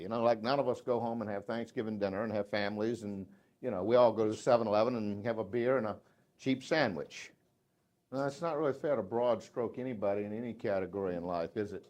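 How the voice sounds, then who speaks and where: A man in his fifties with a Southern accent, giving a folksy, joking live speech to a room of salespeople, swinging between loud and hushed. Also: mid-range voice. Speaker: motivational sales speaker. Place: dealership meeting room.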